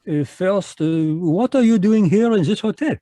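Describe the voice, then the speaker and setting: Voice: medium pitch, animated, unhurried, mellow, dry recording, natural voice. A performer voicing a fussy, polite, shrewd Belgian male detective with a Belgian French accent, speaking courtly and hesitant in a live radio drama read over a video call. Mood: probing.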